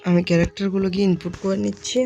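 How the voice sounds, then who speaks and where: Tutorial host with an even, unvarying delivery. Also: mid-range voice, rapid-fire, vocal fry, highs cut off. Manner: informal instruction, addresses viewers as friends. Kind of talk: step-by-step software tutorial narration.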